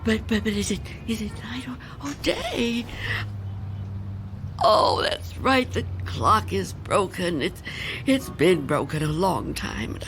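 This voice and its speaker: A woman's voice: mid-range voice, expressive, slow pace, a bit bright, a little hiss or hum. An actress in a radio drama playing a frail, fearful, reclusive old woman who speaks in a hesitant, tremulous, anxious way. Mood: anxious, flustered. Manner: hesitant, stammering, anxious muttering.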